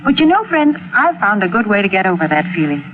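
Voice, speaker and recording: A woman delivering a scripted 1940s radio sponsor pitch as a commercial announcer. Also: big pitch swings, quick pace. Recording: tinny, noisy vintage radio recording.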